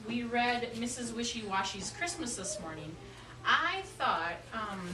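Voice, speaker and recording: Female primary teacher, patient question-and-answer delivery, raised pitch, roomy distant classroom sound. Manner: patient, repeats pupils' answers back. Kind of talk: classroom lesson, questioning young children. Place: classroom with children. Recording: echoey classroom, distant mic, noisy.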